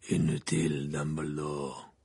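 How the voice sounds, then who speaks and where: A man voicing a gruff, breathless old wizard who grumbles irritably in an audiobook story. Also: a bass voice, little pitch movement, a little mellow, pitched below the performer's own voice, full character voice. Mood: gruff, irritated. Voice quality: growling, breathless. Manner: slow grumbling mutter.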